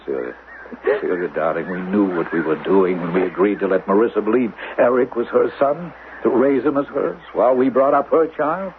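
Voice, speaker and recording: An actor playing a determined, reassuring husband and doctor in a 1970s radio drama, coaxing urgently and moving between hushed and emphatic speech. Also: male voice, on the low side, fairly quick, natural voice. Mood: soothing. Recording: narrowband, faintly noisy 1970s radio recording.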